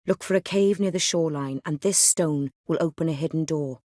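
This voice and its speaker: A woman's voice: low voice, a little fast, dark tone, no echo, clean studio sound. A woman playing an ancient, aristocratic female vampire in a video game, with a refined, cool, worldly and dryly condescending manner. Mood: composed, polite. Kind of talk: video game dialogue acting.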